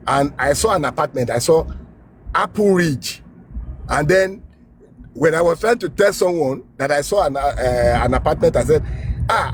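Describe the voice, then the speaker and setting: Nigerian man with a faint hiss behind. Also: a little high. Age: adult graduate student. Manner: laughs at himself, repeats phrases, agrees briefly. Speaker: guest. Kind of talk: casual storytelling.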